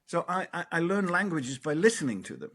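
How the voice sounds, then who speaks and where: A middle-aged man from Denmark who grew up in the Netherlands, telling personal stories as an interview guest in an animated way. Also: a little high, brisk. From Denmark, raised in the Netherlands. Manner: animated, swears and laughs while telling stories. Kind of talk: reflective storytelling.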